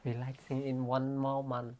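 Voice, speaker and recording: A woman's voice: extremely low pitch, completely flat delivery, unhurried, a little mellow. A woman from Vietnam with a Vietnamese accent, co-hosting a casual chat in simple English with short replies. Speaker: co-host.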